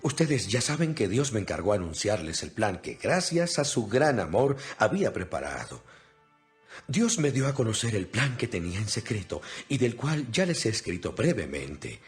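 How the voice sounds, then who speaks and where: A man's voice: on the low side, very animated, moderate pace. A man playing an imprisoned apostle in a dramatized scripture reading, speaking solemnly and earnestly with emphasis. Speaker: Bible narrator. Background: music.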